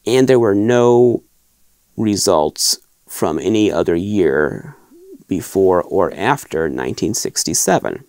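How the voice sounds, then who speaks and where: A man in his thirties hosting a collectibles show, explaining to camera from a desk in a small wood-paneled room in a thoughtful, measured way with restarts. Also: low-pitched, lively intonation, a little mellow, no room sound. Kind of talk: casual explanatory talk to camera. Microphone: fixed camera at desk, framed from chest up.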